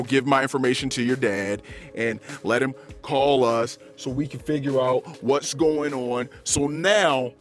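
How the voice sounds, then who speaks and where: A middle-aged man hosting a podcast, delivering an unscripted personal rant with emphatic, repetitive phrasing and swearing. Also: medium pitch. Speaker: podcast host. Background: music.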